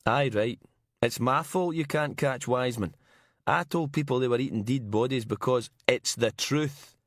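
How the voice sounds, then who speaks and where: Male narrator as a combative male reporter, broad Glaswegian accent, high-pitched, mouthy, indignant, audiobook drama. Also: normal speed, dry recording, clean studio sound, close to an everyday voice. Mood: defiant. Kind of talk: audiobook character acting.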